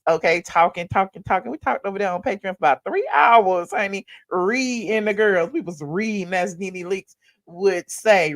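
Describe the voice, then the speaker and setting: A hoarse woman hosting a casual livestream, chatting in a sassy, drawn-out way. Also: medium pitch. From Atlanta area. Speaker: livestream host. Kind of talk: casual live-stream chat.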